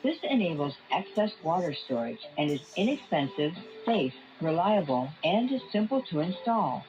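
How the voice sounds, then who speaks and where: A woman playing a gentle, benevolent blue tang fish plumber, earnestly presenting scripted helpful tips over a lo-fi shortwave broadcast. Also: lowish voice, big pitch swings, moderate pace. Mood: helpful, explanatory. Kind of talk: scripted TV tip narration. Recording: dull lo-fi shortwave radio broadcast. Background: music.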